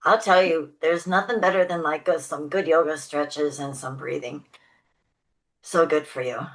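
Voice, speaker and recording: A woman's voice: low-pitched, steady tone, moderate pace, rough recording. A woman singer and choir leader, a guest chatting casually and enthusiastically in an interview. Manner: chatty, upbeat, warmly enthusiastic about people.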